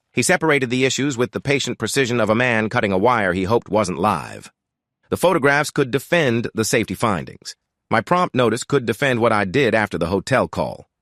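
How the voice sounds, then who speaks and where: Man narrating a story with lively pitch swings. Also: dry recording, clean studio sound. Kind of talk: scripted first-person audiobook-style story narration.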